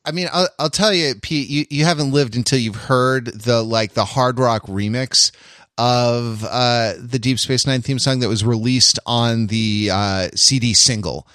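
A man with an average-pitched voice in a very dry, close space. He chats casually as a podcast panelist, jokey and self-deprecating, often feeling his way through a sentence. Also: a little slow, a bit bright, dry recording. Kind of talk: casual chat.

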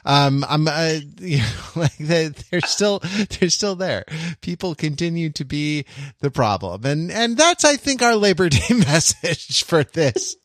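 A man's voice: fairly high pitch, expressive, a little slow, a bit bright, dry recording. Wry man hosting, close dry sound. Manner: hesitant, reflective, wry, restating phrases. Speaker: podcast host. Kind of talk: casual podcast discussion.

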